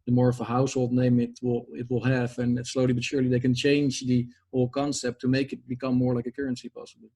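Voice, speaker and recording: A man's voice: medium pitch, completely flat delivery, fast, hardly any pauses, warm tone. A man hosting an interview, asking probing questions in a conversational way. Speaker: host.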